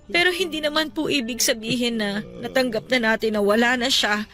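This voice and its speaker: An actress playing a gentle, respectful young adult daughter consoling her father in an emotional radio drama, earnest and pleading. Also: on the high side, fairly quick, close to an everyday voice. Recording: music under the voice. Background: music.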